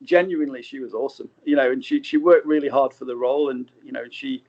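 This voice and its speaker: A man's voice: mid-range voice, steady tone, fast talker, darkish tone. A man speaking as a guest film director in a casual interview, hesitant and often correcting himself, on slightly dull remote audio.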